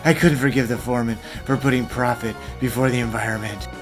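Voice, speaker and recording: A man playing a principled, apologetic engineer in an animated fan dub, speaking quietly and contritely. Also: mid-range voice, quick pace, lightly acted. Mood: subdued, confessing. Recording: clear music behind the voice.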